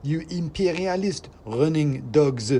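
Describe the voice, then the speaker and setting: A man's voice: animated, takes it slowly, warm tone, slightly rough, full character voice. A man voicing a fiery male revolutionary mouse who rants in slogans, in a spoken story. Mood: ranting. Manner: shrill, ranting slogans.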